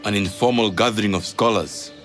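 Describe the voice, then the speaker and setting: An actor playing a hearty, knowing spymaster in a cinematic video game, with a theatrical, musing way of talking. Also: male voice, lowish voice, a little fast, music in the background, lightly acted. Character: hearty, knowing male spymaster of an assassins' bureau.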